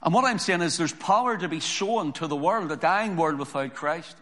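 Hoarse preaching man. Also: on the high side. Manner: slow, deliberate scripture reading building to louder emphatic preaching. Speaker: pastor. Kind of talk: sermon.

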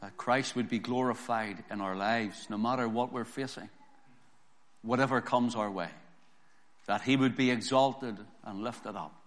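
A hoarse man preaching a sermon, reading scripture slowly and building to emphatic preaching. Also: medium pitch. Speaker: pastor.

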